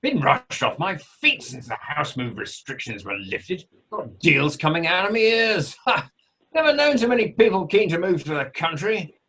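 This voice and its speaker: Male actor as British property braggart: hearty, flirtatious, raspy, very animated delivery, amateur radio comedy-drama style. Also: on the high side, moderate pace, heavily acted. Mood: boastful. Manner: blustering, plummy old-chap heartiness. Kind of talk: amateur radio play acting. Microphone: video call.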